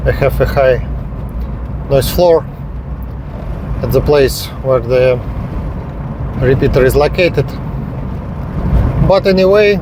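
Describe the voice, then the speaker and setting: A man narrating a hobby field test vlog step by step in halting, short, repetitive phrases. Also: mid-range voice, background noise. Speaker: radio hobbyist presenting a range test.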